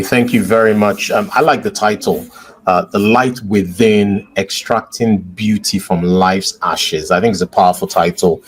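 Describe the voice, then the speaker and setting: A man with a Nigerian accent hosts an interview in a fairly low, noticeably husky voice. His delivery is conversational and polite, with repeated affirmations and doubled phrases. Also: poor audio. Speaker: interview host.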